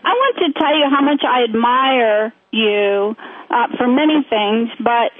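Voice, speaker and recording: High-pitched lady radio host, earnest, encouraging, tinny phone-line sound. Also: lively intonation, measured pace, no echo. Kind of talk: call-in talk show.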